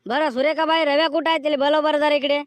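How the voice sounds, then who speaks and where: An exasperated village mediator acted by a man admonishes squabbling neighbors in a cartoon skit. His voice is artificially high and closely dry, with a rural Vidarbha Marathi accent. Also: fairly even intonation, fast talker, dry recording. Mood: admonishing, measured.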